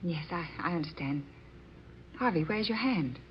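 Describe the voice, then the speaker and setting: A woman's voice: on the low side, animated, moderate pace, slight background noise, close to an everyday voice. An actress playing a polite, earnest, nervous woman at a séance in a radio drama, speaking hesitantly. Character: polite, earnest, nervous open-minded female séance guest. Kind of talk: old-time radio drama acting. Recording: dull lo-fi radio recording, music behind.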